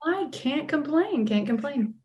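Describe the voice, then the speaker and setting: A woman's voice: high-pitched, lively intonation, measured pace, a little mellow, lo-fi sound. An American woman, an actress being interviewed, telling stories casually in a chatty, self-deprecating way. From California and Oregon, United States. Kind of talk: casual storytelling chat.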